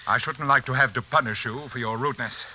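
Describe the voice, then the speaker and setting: A cold, condescending villain of old radio suspense. His velvety, formal speech cuts through very sharp and bright, over a faintly hissy vintage broadcast recording. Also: medium pitch, fast. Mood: menacing. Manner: pompous, condescending, formal diction. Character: sinister, haughty hypnotist brother-in-law, cold and menacing. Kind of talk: 1940s radio suspense acting.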